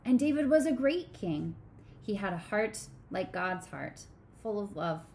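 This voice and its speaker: Woman reading a children's story gently. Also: medium pitch, big pitch swings, unhurried, a little hiss or hum. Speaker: children's sermon co-leader. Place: small home room.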